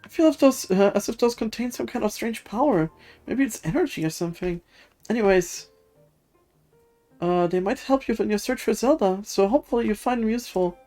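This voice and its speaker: A woman voicing an excitable, curious researcher, reading video game dialogue aloud with eager exclamations. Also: on the high side, fairly quick, soft background music, pitched above the performer's own voice, close to an everyday voice. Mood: excited, grateful.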